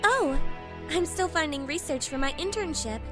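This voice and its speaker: Actress as high-voiced chatty young intern. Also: female voice, expressive, moderate pace, music in the background. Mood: friendly, chatty. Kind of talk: fan audio drama acting.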